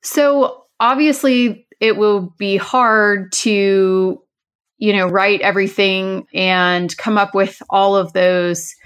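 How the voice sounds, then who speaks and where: A woman's voice: mid-range voice, fairly even intonation, slow, a bit bright, smooth. A woman hosting a podcast, asking a guest questions in a friendly, encouraging way. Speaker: podcast host, interviewer. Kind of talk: casual interview questions.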